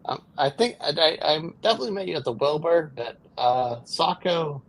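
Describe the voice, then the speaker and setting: Husky, gravelly man in his thirties. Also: on the high side, lively intonation, poor audio. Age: 30s. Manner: hesitant, halting, quiet. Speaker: guest. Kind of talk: casual chat. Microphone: poor mic.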